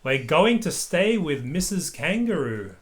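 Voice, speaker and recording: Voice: mid-range voice, very animated, unhurried, pitched above the performer's own voice. An adult reading a storybook, voicing a friendly, enthusiastic female rabbit who organises trips. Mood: cheerful, explaining.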